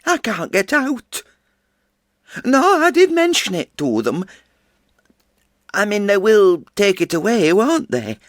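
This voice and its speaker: An actor playing a chatty, fretful elderly widow who rambles and interrupts herself. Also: male voice, high-pitched, very animated, slow pace, long pauses, no echo, clean studio sound, pitched above the performer's own voice, full character voice. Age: older. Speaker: actor performing a character monologue. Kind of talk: stage character monologue.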